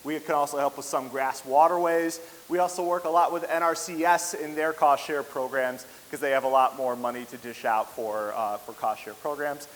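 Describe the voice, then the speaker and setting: A man giving a public presentation as a conservation specialist, speaking loudly with an easygoing, joking manner into a handheld mic. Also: fairly high pitch, fairly even intonation, normal speed, few pauses, big-room sound, a little hiss or hum. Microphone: handheld microphone.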